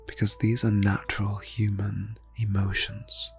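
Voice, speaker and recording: A man leading an ASMR guided meditation in a soft, breathy near-whisper, phrasing slowly and soothingly with long pauses. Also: on the low side, completely flat delivery, faint music behind. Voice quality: breathy, hushed.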